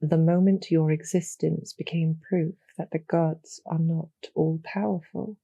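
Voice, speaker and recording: A woman playing a kind, calm demon general in a roleplay audio drama, speaking in a measured, formal and gently persuasive way. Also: low voice, vocal fry, slightly rough, no room sound, lo-fi sound, exaggerated voice. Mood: sympathetic, persuasive.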